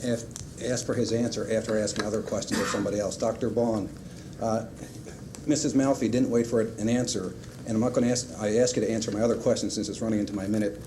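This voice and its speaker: A man at a public meeting asking pointed, pressing questions of officials. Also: medium pitch. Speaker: public commenter. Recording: noisy 1990s public-access broadcast.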